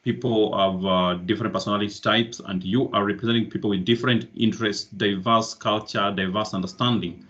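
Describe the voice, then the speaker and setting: A man sets out his qualities as a candidate in formal, repetitive phrasing. His voice is fairly low. The video call sound is slightly dull. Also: fairly even intonation, a little fast, few pauses, a bit bright. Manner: formal, repeats words for emphasis. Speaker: candidate in a student election. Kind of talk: campaign answer at an online hustings.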